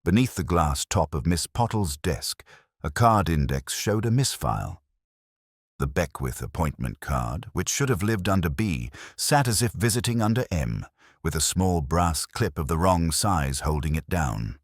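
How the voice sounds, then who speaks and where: A man with an extremely low, slightly rough voice narrates a mystery story. His delivery is measured and dryly wry, with lively rises and falls. The sound is close and dry, with little room around it. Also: dry recording. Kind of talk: scripted audiobook-style mystery narration.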